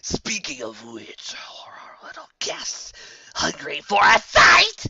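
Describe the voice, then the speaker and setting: A man voicing a bloated, sinister monster overlord in a fantasy story, speaking in a slow, commanding, hoarse whisper. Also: huge pitch swings, highs cut off, full character voice. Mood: gloating menace. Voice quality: hoarse breathy whisper, rumbling.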